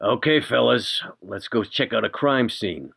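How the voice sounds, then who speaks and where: A man voicing a gruff, terse vampire gang member in a tabletop game. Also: medium pitch, expressive, unhurried, a bit bright, no echo, studio quality, exaggerated voice. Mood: dry, wry. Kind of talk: tabletop roleplay in character.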